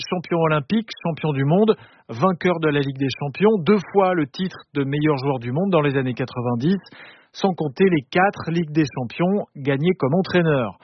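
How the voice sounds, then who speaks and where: A man with a fairly high voice reads a scripted sports feature with emphatic, listing rhythm. The sound is dull and lo-fi, with a faint hiss. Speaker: sports reporter.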